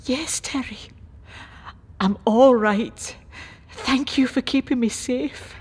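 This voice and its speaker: A woman playing a kindly, gentle, homely wife and housekeeper in an audio drama. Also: fairly high pitch, slow, husky, exaggerated voice. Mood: shaken, tender. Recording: long echoing reverb.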